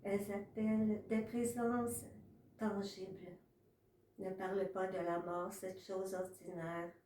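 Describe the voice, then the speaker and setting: A woman reads literary poetry in a measured way, pausing between short phrases. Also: mid-range voice, little pitch movement, dark tone, slight background noise. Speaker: author reading own poetry. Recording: echoey room, distant from mic.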